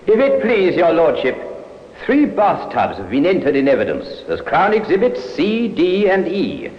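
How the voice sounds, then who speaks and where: An actor playing a formal Crown prosecutor addressing the court. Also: male voice, fairly high pitch, wildly swooping pitch, moderate pace. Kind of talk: 1950s radio drama acting. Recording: dull old broadcast in an echoey courtroom.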